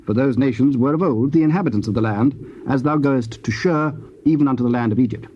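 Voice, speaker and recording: Male narrator: formal, solemn scripture reading, soft warm tone, slightly noisy cassette sound. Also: mid-range voice. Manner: measured, formal. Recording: dull, faintly noisy old cassette.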